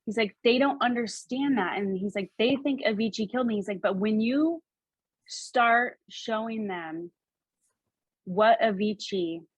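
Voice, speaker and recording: A woman working as a psychic medium, relaying messages in a halting, repetitive, animated way. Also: fairly high pitch, husky, no echo, rough recording. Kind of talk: spoken reading, relaying messages in quotes.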